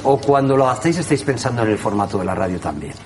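A man's voice: mid-range voice, lively intonation, very fast, a loud crowd. A man with an accent from Spain, chatting casually as a radio interviewer. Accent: Spanish, from Spain.

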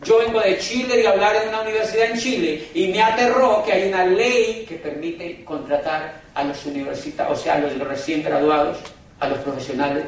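A man giving a live business seminar, emphatic and preachy, often turning to the crowd directly and slowing down for dramatic emphasis. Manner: emphatic, preachy, keeps addressing the crowd as "gentlemen"; dramatic slowdowns and pitch swings for emphasis. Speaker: business motivational speaker. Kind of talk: live seminar talk to an audience. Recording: echoey hall from far off, faint noise.

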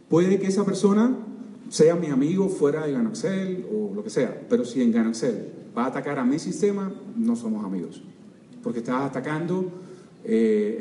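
An older man with a Cuban accent giving an energetic motivational seminar talk, constantly quizzing his audience. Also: medium pitch, slight background noise. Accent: Cuban Spanish. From Cuba. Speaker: seminar speaker. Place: large auditorium. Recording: echoey hall, distant mic, faint crowd.

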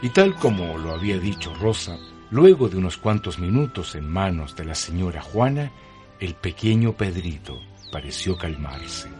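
Deep Chilean male storyteller. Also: very animated, normal speed. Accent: Chilean Spanish. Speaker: narrator. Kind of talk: scripted radio drama narration. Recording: music bed behind the voice.

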